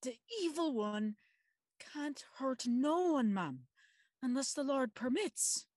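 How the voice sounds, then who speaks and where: A woman's voice: high-pitched, animated, slow pace, darkish tone, dry recording, studio quality, exaggerated voice. A woman playing a stern, pious aunt in service, soothing her mistress firmly in a gothic audio drama. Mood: firm reassurance.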